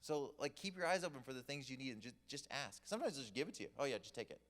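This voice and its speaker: A man's voice: mid-range voice, expressive, racing, fairly bright tone. A man giving a casual, joking sermon, telling stories to the congregation. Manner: chatty, joking storyteller. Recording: long reverb of a hall.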